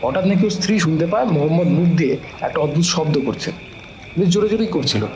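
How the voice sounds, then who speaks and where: A man's voice: fairly high pitch, quick pace, crowd noise, natural voice. A man playing a talkative, deferential police officer in an audio drama, explaining things respectfully and knowingly to a superior. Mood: informative. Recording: echoey room, clear background noise.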